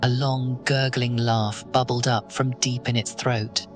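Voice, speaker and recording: A narrator telling a horror story in second person, slow and ominous. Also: medium pitch, flat delivery, soft background music.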